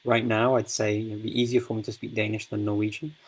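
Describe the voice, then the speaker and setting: Host, male, lowish voice, relaxed live-stream chat, chatty and rambling. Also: brisk, poor audio. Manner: chatty, warm, lots of fillers and restarts.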